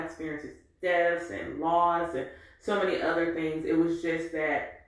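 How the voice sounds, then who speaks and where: A woman in her forties telling a candid, reflective personal story in an animated way. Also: sits low. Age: 40s. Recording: very echoey room.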